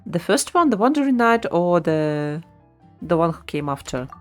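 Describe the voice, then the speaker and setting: Lower-pitched woman streamer reacting casually. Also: very animated, normal speed, soft background music. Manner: thinking aloud, reactive. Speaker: livestreamer.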